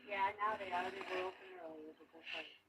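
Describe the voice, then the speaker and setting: A woman's voice: lowish voice, steady tone, a little fast, noisy background. A woman, a local bystander, casually explaining when the site opens.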